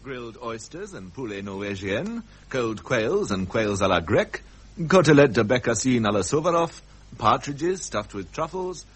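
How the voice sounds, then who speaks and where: An actor playing a formal, deferential royal household attendant with a husky voice in a radio drama. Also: male voice, mid-range voice, very animated, moderate pace. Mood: formal, reciting. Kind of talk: British radio drama acting.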